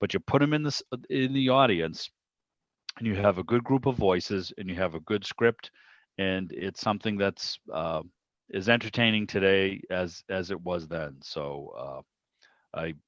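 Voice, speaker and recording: A man's voice: deep voice, measured pace. A man chatting casually as an interviewer, telling anecdotes in a rambling way over a video call. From Boston area, United States. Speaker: interviewer and club host. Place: indoor room on a video call. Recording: roomy, dull lo-fi call audio.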